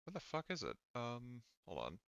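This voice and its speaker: A man running a tabletop game as game master, explaining rules casually and haltingly as he thinks aloud. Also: medium pitch, huge pitch swings, creaky, a bit bright, clean tone, no room sound, dull audio.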